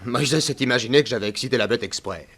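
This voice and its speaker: A man's voice: medium pitch, fairly bright tone, breathy edge. An actor playing a mild, sly witness telling his story in a 1960s French radio drama. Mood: mild, resigned. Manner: measured, explanatory storytelling.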